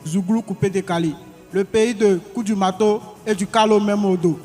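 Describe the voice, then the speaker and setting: A young man declaims a ceremonial welcome in a high, ringing voice. His words trail off into the long reverb of a large hall, with faint music underneath. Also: fairly even intonation, normal speed, darkish tone. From Côte d'Ivoire. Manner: declaiming, list-like cadence. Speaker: student speaker. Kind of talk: formal ceremonial welcome speech.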